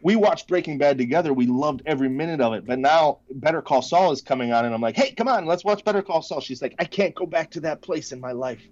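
A man's voice: a little high, expressive, fast, hardly any pauses, darkish tone, dull audio. A man in his thirties, a podcast guest chatting casually and laughing at himself. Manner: chatty, self-deprecating, laughs often. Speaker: podcast guest, case company founder.

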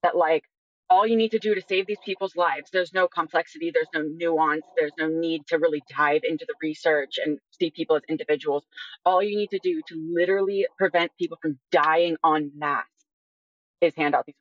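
A woman in her early twenties answering as an interview guest in a measured, matter-of-fact way. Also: low voice, fairly even intonation, dry recording. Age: early 20s. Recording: slightly dull lo-fi voice track.

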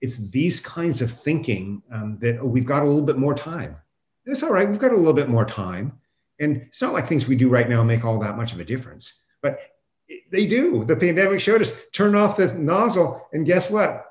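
A man's voice: fairly high pitch, big pitch swings, normal speed. Male expert in a roomy space. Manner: explanatory, emphatic, quotes imagined objectors. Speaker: guest professor and climate scientist. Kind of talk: unscripted interview discussion. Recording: roomy, echoing sound.

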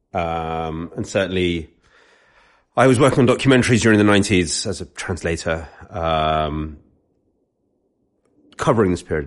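A man, an author guest on a podcast, speaking in a measured, hesitant way with fillers. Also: deep voice. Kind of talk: casual discussion.

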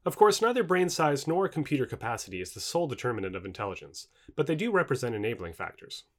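A man reading scripted nonfiction as an audiobook narrator, with formal, measured enunciation. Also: mid-range voice, sing-song.

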